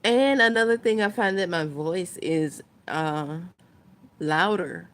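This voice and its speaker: Slow-talking woman vlogger. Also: fairly low pitch, a bit bright. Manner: unhurried, rambling, thinking aloud. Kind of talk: casual chat to viewers.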